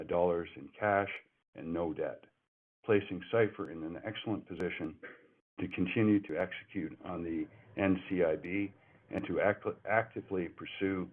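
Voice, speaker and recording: Deep-voiced man on a tinny phone line. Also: slightly rough. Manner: reads from a script with frequent restarts and self-corrections. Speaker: company executive. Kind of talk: prepared earnings call remarks. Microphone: telephone. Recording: phone-line conference call audio.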